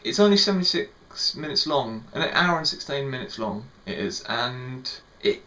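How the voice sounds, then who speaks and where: A man's voice: medium pitch, fairly bright tone. A young man giving a casual spoken film review, rambling a little and repeating words, with lots of "sort of" and "like".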